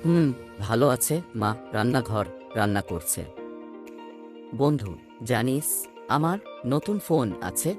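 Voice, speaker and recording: With music playing clearly behind, a man plays a boastful cartoon boy chatting casually with his pals. He is slippery and evasive, always bluffing in a mid-pitched voice. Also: lively intonation, slow, pauses often. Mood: bragging, evasive. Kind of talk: Bengali cartoon dialogue.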